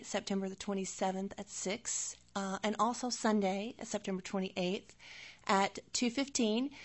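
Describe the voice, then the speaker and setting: Female announcer, mid-pitched voice, informative listing of event details. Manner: informative, with soft fillers. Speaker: event promoter.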